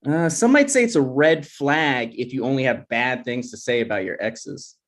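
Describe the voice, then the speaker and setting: A man's voice: medium pitch, animated, moderate pace, soft background music, lightly acted. A man playing a sensitive, jokey twenty-six-year-old boyfriend in a screenplay table read, casual and self-deprecating.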